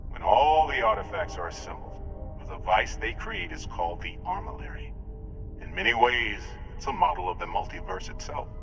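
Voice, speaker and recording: Solemn space emissary in a game, sincere and intense, bit of gravel in the voice. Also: male voice, mid-range voice, very animated, normal speed, fairly bright tone, soft background music, rough recording. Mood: wistful, urgent. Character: solemn, earnest otherworldly male emissary, protective idealist. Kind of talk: dramatic video game acting.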